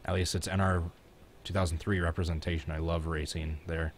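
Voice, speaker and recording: Very deep-voiced Midwestern American man, casual streamer. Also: flat delivery, fairly quick, a little hiss or hum. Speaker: streamer hosting a live race reaction. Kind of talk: casual live stream chat and race reaction.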